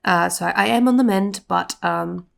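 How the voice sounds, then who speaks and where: A woman's voice: on the low side, measured pace, creaky. A young adult woman, sounding congested and sniffly, gives a chatty, rambling voiceover for her planner vlog. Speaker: planner vlogger. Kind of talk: casual voiceover narration.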